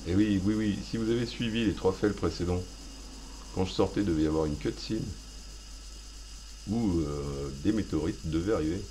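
A man's voice: deep voice, moderate pace, pauses often, mellow, a little hiss or hum, low-quality recording. A man hosting a gaming video, rambling through self-mocking, playful commentary as he plays. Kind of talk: joking let's play commentary.

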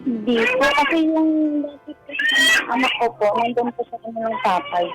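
Polite Filipino woman, fairly high voice. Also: wildly swooping pitch, loud music behind the voice, poor audio. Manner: polite, deferential, halting. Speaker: caller seeking advice. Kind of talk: hesitant personal account in conversation.

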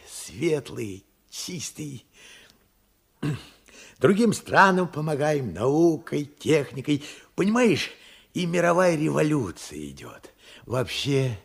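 An old working-class father in a Soviet radio play. His voice is creaky and fairly high for a man, swinging widely in pitch. He tells things with earnest, emphatic pride. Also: a little mellow, exaggerated voice. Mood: proud, reassuring. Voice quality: husky, creaky voice.

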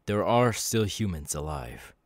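Demon warrior, male actor, audio roleplay: low-pitched, knowing, calmly menacing, halting dramatic delivery, dry recording. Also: no room sound, full character voice. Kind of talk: ASMR audio roleplay monologue.

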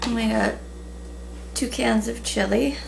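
Slow-talking woman with a gravelly voice. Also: a little high. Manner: relaxed, chatty, many ums. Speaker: vlogger. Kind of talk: casual haul video chat. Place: small room at home, indoors. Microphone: camera in front at table. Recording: noisy home webcam recording.

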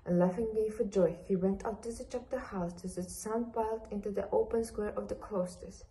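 A woman's voice: mid-range voice, fairly even intonation, brisk, mellow, poor audio. A young woman reading literary prose aloud in a room. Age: young adult. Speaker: student reader. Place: indoor room beside a large screen.

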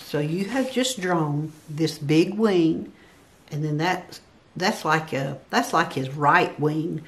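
A woman's voice: low-pitched, takes it slowly. An older woman giving a step-by-step drawing tutorial, patiently counting grid squares aloud as she works at a table. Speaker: craft instructor. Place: indoor work table.

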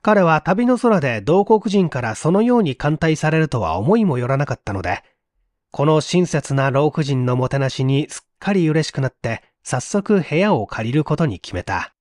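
A man reading a literary mystery story aloud in an expressive, theatrical storytelling manner. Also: fairly high pitch, normal speed, no room sound, studio quality. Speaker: reader of audio stories.

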